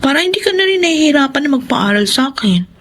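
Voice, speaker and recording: An actress playing a stubborn, wounded teenage daughter in an emotional Filipino radio drama. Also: female voice, high voice, big pitch swings, quick pace, a bit bright. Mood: resentful, subdued. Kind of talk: Tagalog radio drama acting.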